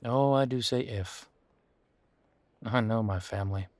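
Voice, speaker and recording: A man playing a weary, wry werewolf boyfriend in an intimate audio roleplay, talking casually with hesitant, trailing pauses. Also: medium pitch, no room sound, natural voice. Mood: reluctant, dry. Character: weary, wry male werewolf boyfriend estranged from his family. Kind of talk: intimate roleplay audio drama, conversational monologue.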